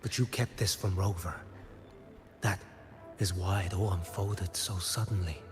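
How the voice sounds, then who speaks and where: An actor playing a blind, watchful bodyguard in a video game, speaking in a measured, cryptic and formal way. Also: male voice, sits low, steady tone. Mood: solemn, probing. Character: blind, solemn watchful male bodyguard and investigator. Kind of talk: scripted video game dialogue. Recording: long reverb, music underneath. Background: game music.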